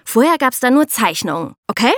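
Woman, high-pitched, animated intonation, colloquial podcast talk, emphatic explainer, dry sound. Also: fairly quick, no echo. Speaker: podcast co-host. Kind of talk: lively explanatory talk with co-hosts.

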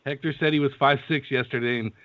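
A man's voice: mid-range voice, steady tone, fast, fairly bright tone, dry recording. A man co-hosting a podcast, teasing, swearing and laughing in casual banter. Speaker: podcast co-host. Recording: dull lo-fi audio, faint noise.

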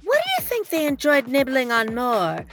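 A woman's voice: high-pitched, huge pitch swings, normal speed, heavily acted. A woman voicing a sly, teasing gossip narrator in an arch style for an audio comedy. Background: music.

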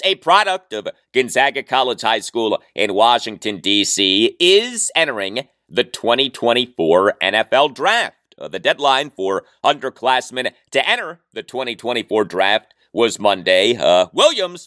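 American guy doing sports podcast talk, pitch swings all over, really punches words, dry close sound. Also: a bit bright, dry recording.

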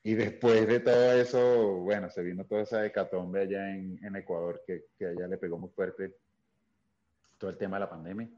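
A man's voice: low voice, steady tone, moderate pace, mellow. A man from Caracas with a Venezuelan accent, a guest actor telling stories in casual interview chat with many fillers and restarts. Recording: dull lo-fi sound.

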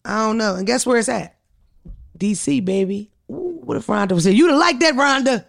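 A woman with a deep voice hosting a podcast, telling stories in a blunt, profane, animated way. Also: a little fast, vocal fry. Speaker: podcast host. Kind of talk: casual chat.

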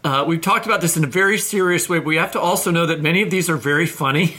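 A man co-hosting a podcast, explaining things in an informal, animated way and often interrupting himself. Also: on the high side, rapid-fire, a little hiss or hum. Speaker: podcast host, writing teacher. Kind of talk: casual discussion with anecdotal explanation.

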